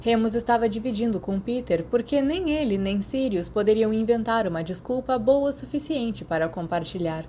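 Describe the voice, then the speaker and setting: A woman's voice: on the high side, animated, moderate pace, hardly any pauses, mellow. A woman with a Brazilian accent reading a story as scripted audiobook narration. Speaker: podfic narrator. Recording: clear background noise.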